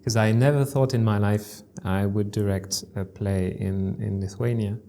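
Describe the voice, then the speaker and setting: A man's voice: lowish voice, steady tone, normal speed, mellow. A man with a French accent, a theatre director chatting casually as an interview guest, hesitating and repeating words as he thinks. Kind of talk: casual interview chat in English.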